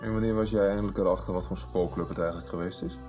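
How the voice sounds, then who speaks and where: An actor plays a skeptical man in a radio drama who keeps pressing with pointed questions. His voice sits fairly low, with faint music underneath. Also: steady tone, rapid-fire, close to an everyday voice. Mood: probing. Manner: probing, persistent questioning. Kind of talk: 1990s radio drama acting.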